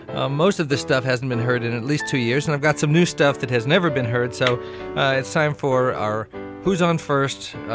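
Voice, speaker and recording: A man hosting a radio show, chatty and quick to laugh. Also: mid-range voice. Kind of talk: radio interview and sign-off.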